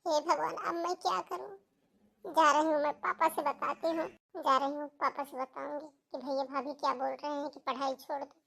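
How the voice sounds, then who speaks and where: A very high, young schoolgirl voice, acted by a woman for a cartoon melodrama. She is earnest and plaintive, sounding close to tears as she pleads. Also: flat delivery, moderate pace, vocal fry, a bit bright. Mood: distressed. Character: tearful young schoolgirl pleading to keep studying. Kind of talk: Hindi cartoon family melodrama acting.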